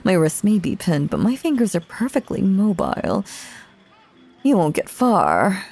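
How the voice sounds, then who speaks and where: A woman's voice: mid-range voice, wildly swooping pitch, a little slow, creaky, a little mellow, slightly rough, heavily acted. A woman playing a possessive, sinister stage magician mistress in an audio roleplay, theatrical and full of silky menace. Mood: coolly menacing. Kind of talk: dramatic audio roleplay acting.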